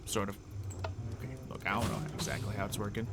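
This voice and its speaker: A man hosting a gaming video, casually thinking aloud in a playful, excitable way as he plays. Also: lowish voice, fast, background noise. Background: background music.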